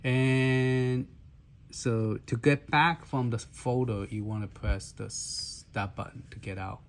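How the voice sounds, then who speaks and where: A man with a hoarse voice, walking through a gaming handheld's menus in a halting casual way, with many fillers and restarts. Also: medium pitch, steady tone, slight background noise. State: hoarse, clearing his throat. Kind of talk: casual walkthrough demo.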